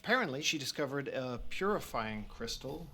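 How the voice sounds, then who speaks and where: A male researcher, steady, explanatory, earnest, faint noise. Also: medium pitch, moderate pace. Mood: explaining.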